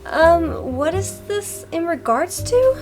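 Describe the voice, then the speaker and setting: Female defensive, evasive young woman, radio play. High, very animated delivery over faint music. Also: slow, heavily acted. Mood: nervous. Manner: stammering, evasive. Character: nervous, defensive young woman hiding a secret. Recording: music bed under voice, amateur audio.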